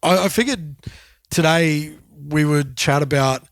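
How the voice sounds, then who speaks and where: A man co-hosting a podcast, talking thoughtfully in a halting way and often repeating words. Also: a little high, dry recording, studio quality. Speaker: podcast co-host. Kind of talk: casual podcast chat.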